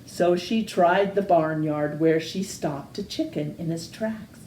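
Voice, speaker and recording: A woman reading a children's picture book aloud in an expressive, sing-song way. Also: on the low side, slow, roomy sound, slight background noise. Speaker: storytime reader.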